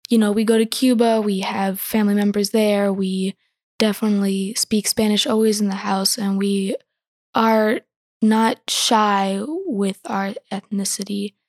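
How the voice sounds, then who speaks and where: A thirteen-year-old girl answering interview questions thoughtfully, pausing with many fillers. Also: mid-range voice, steady tone, no echo, clean studio sound. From born in Ecuador, raised in the United States. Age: teens. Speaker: guest.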